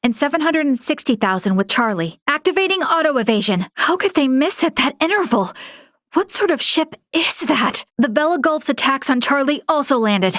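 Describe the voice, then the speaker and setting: A woman's voice: sits high, expressive, moderate pace, a bit bright, no echo. Young female first officer in a sci-fi game, earnest n formal, dutiful, very dry studio sound. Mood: alarmed, urgent. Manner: formal, earnest, crisply articulated military address. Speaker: game character voice actor. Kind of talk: video game voice acting.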